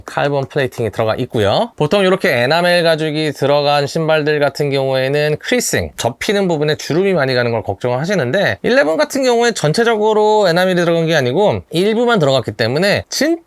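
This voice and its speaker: A man's voice: on the high side, very animated, quick pace, hardly any pauses, clean tone, dry recording, clean studio sound. Polite Korean man reviewing sneakers. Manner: polite, detailed product explanation. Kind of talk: casual unboxing review.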